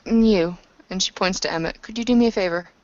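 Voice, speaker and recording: A woman improvising a story in a tabletop roleplaying session, speaking in a halting, measured way with pauses. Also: medium pitch. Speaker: game master and player narrating a tabletop roleplaying session. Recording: dull lo-fi audio.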